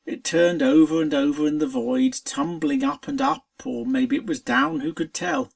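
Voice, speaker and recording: A narrator reading a scripted story aloud in an expressive, dramatic storytelling manner. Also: medium pitch, normal speed, creaky. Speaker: fan fiction reader.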